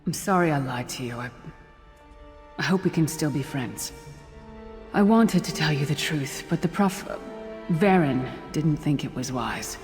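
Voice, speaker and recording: A blunt, loyal fantasy-game warrior woman, earnest and plainspoken. Her voice carries a long reverb with clear music underneath. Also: deep voice, lively intonation, measured pace, darkish tone. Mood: apologetic.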